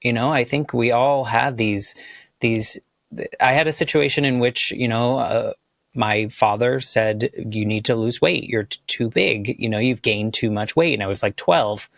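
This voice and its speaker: A man's voice: mid-range voice, measured pace, creaky, fairly bright tone, no room sound. A man hosting a podcast, chatting in a supportive, loose and rambling way. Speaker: podcast host. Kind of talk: casual interview chat.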